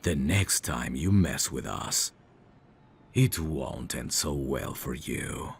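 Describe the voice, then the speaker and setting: A man playing a menacing, teasing mafia boss in an ASMR roleplay, speaking in a deliberate, taunting way. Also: rumbling low, expressive, vocal fry, slight background noise, exaggerated voice. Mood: cold, threatening. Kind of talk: ASMR roleplay audio drama.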